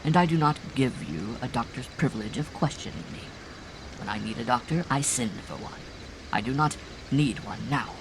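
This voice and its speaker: A man voicing a heavy middle-aged farmer in a cult, a fanatic who shouts and rants in a strained, raucous voice. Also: medium pitch, moderate pace, background noise, close to an everyday voice. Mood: cold. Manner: shouting fanatic, later sly and cold. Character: raving heavy male farmer cult follower.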